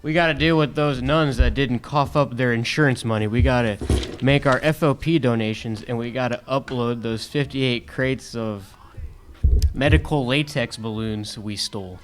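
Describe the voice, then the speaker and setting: An actor playing a wordy, slightly dim mobster deputy in a comedic audio drama. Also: male voice, mid-range voice, moderate pace, a little hiss or hum, natural voice. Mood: businesslike, pressing. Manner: wordy, questioning wiseguy talk.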